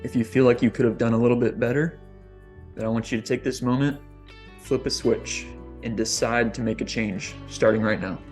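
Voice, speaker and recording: A man, a fitness coach, leading a guest's guided meditation on a podcast, slow and deliberate. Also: medium pitch, steady tone, a little mellow, soft background music.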